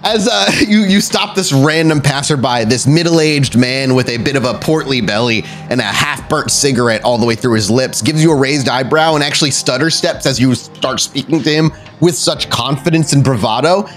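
A man's voice: mid-range voice, normal speed, hardly any pauses, a bit bright, faint music behind. A man running a vampire tabletop game as storyteller, improvising wordy, descriptive narration and correcting himself as he goes.

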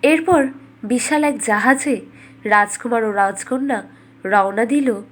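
A woman with a highish voice narrates a scripted fairytale. She tells it as the storyteller of a cartoon bedtime story. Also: takes it slowly.

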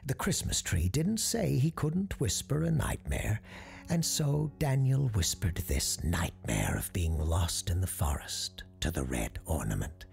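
A woman tells a scripted ghost story, her delivery hushed and often slipping into a whisper. Her voice is deep and gravelly and moves through a wide pitch range. Faint music plays beneath her. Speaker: narrator.

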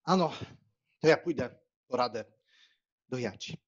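A man acts out a young country gentleman for a dramatised audiobook. He is frank and teasing, with a husky, expressive delivery. The sound is slightly dull. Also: medium pitch, a little slow. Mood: frank, chatty. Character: young Polish country squire, frank, teasing, deferential brother.

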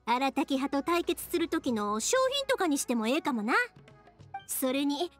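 A woman playing an excitable, kind-hearted young traveller in an anime-style game, with a Kansai Japanese accent. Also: sits high, measured pace. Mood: delighted. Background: game music.

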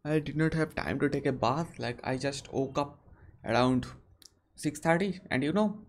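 A young man answers viewer questions on a live stream from a small room. He speaks earnestly and haltingly, often restarting his sentences, and is recorded on a webcam. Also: on the high side. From India. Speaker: English-learning channel host.

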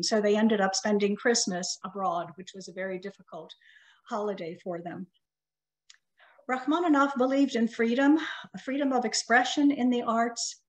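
A middle-aged woman whose first language is Russian delivers a prepared lecture in a measured, careful manner. Her voice sits at an ordinary middle pitch, and her phrases tend to trail off softly at the ends. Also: rough recording. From United States.

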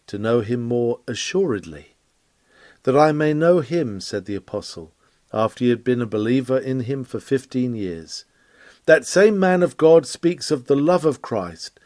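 Earnest man preaching with animated pitch. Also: no room sound. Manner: earnest, pleading, emphatic oratory. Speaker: reader of a historic sermon. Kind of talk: read-aloud sermon, earnest exhortation.

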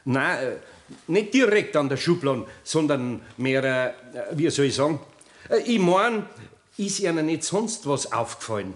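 An actor playing a good-natured, awkward master carpenter with a Bavarian accent and a hesitant manner in a 1960s German radio play. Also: male voice, a little high, sing-song, a little slow. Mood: awkward, probing. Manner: hesitant, homely, stammering.

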